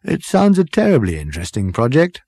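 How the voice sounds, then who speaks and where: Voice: mid-range voice, huge pitch swings, brisk, dark tone, slightly rough, no room sound, clean studio sound, voice pushed up, full character voice. Narrator doing a mild bumbling captain man, polite n vague, hesitant, comic sci-fi story.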